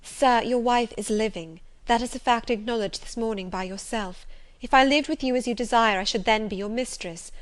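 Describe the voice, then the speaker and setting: A woman voicing a resolute young governess in an audiobook, speaking softly and steadily. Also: on the high side, natural voice. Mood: firm. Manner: soft, steady and measured. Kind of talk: audiobook reading.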